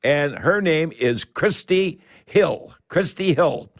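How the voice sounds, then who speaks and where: A man's voice: fairly high pitch, expressive, takes it slowly, no echo, studio quality. A man hosting a live radio call-in show in a folksy manner, repeating his catchphrases.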